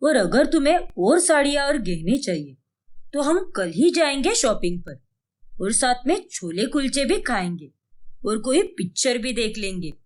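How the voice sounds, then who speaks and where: A woman's voice: fairly high pitch, big pitch swings, measured pace. Woman doing a placating, indulgent older husband. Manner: soothing, reasoning.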